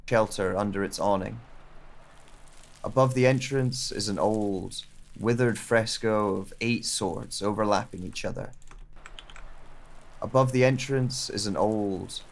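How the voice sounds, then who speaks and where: A man playing a brooding, reflective wanderer who narrates a solitary journey in a measured, solemn way for an audio drama. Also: on the low side.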